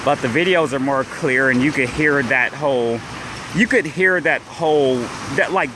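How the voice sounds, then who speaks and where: A man's voice: mid-range voice, moderate pace, noisy background. Rambling man with a downstate Illinois accent. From central Illinois. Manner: rambling, many restarts and "like" fillers. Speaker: vlogger. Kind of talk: casual livestream chat. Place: beach at dawn, outdoors by the waves. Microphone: phone held while walking. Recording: phone video with loud surf behind. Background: surf and waves.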